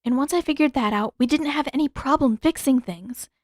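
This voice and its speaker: Stubborn teenage heroine, woman narrator in character, raised pitch, emphatic, exasperated, fantasy story, dry recording. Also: fairly quick, a little mellow, no echo, natural voice. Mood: earnest.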